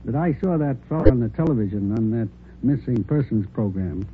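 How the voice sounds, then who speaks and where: An actor playing a friendly, hospitable American bar owner in a 1940s radio drama, chatting in a folksy, conversational way. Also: male voice, medium pitch, animated, brisk, natural voice. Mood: chatty. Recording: muffled, noisy vintage 1940s radio recording.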